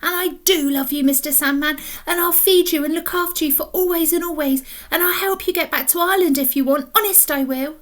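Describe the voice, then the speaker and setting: A fierce, stubborn little girl pipes up high and shrill, as a woman reading a storybook puts her on. Her voice leaps up and down as she insists and pleads. She sounds small but will not be told. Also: very animated, unhurried, hardly any pauses, fairly bright tone, heavily acted. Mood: tender.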